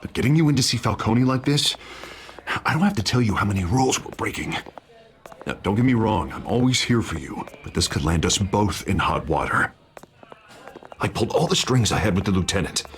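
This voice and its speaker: An actor playing a wary but loyal American politician friend in a video game, wry and emphatic. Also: male voice, fairly low pitch, lively intonation, a little fast, slight background noise. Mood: cautioning.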